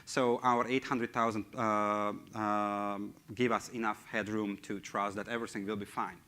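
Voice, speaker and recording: Hungarian-accented man, bright voice. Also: lowish voice, flat delivery, normal speed. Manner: hesitant, many fillers, non-native phrasing. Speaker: conference speaker, engineering team lead. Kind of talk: tech conference presentation. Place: large conference hall stage.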